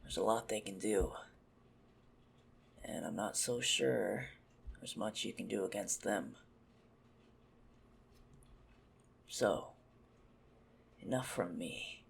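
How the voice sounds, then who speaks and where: A menacing male vampire acted out by a man in an ASMR roleplay, toying with his prey. His voice is low and heavily gravelled, often sinking toward a whisper. He is possessive and mocking, talking down to the listener at an unhurried pace. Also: exaggerated voice. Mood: probing, calm. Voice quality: husky, gravelly, breathy. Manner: mocking, slow, condescending, often dropping to a whisper.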